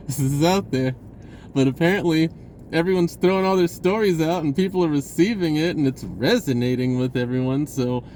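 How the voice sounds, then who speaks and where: A man telling a personal story in a casual, rambling vlog style, stammering, blurting and re-enacting conversations excitably. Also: medium pitch, a little hiss or hum. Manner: blurting, self-interrupting, stammering over phrases.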